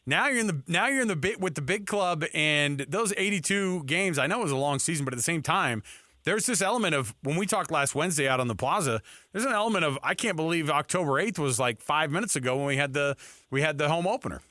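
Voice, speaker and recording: A man's voice: fairly high pitch, lively intonation, fast, pushed and bright, no echo. A man hosting a sports radio show in a casual, chatty style, close on a studio mic.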